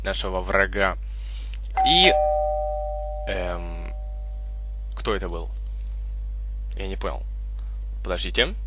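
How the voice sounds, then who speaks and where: A young man hosting a gaming video with casual, halting, improvised gameplay commentary. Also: deep voice, expressive, over music. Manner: hesitant, halting, improvised phrasing.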